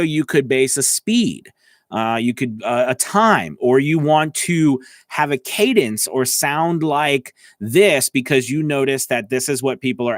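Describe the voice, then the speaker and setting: A man speaks as a coach hosting a live lesson, in an ordinary mid-range male pitch. His delivery is conversational and explanatory, often checking that the listener follows. The sound is dry, with hardly any room on it. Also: no echo. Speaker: voice-over coach and show host. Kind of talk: casual live tutorial.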